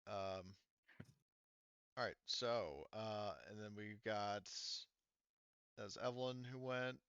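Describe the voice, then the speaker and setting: A man's voice: fairly low pitch, fairly even intonation, slow pace, a bit bright, smooth, no echo, highs cut off. A man casually narrating a tabletop game as game master, thinking aloud through the rules in an informal way.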